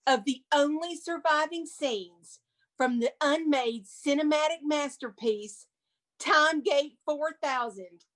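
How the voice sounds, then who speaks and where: High-voiced woman narrating theatrically. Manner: dramatic, emphatic. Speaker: museum curator presenting and reading stage directions.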